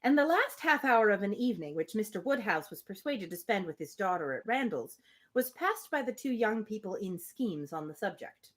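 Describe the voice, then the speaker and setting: Lively woman reading aloud with casual asides. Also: mid-range voice, normal speed. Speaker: host reading a novel aloud on a livestream. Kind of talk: live read-aloud with casual asides and commentary.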